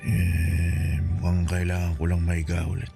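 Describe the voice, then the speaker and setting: A man playing an ailing, weary but loving poor father in a Tagalog radio drama, gentle and reassuring. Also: low voice, monotone, unhurried, vocal fry, soft background music, full character voice. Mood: weak, reassuring.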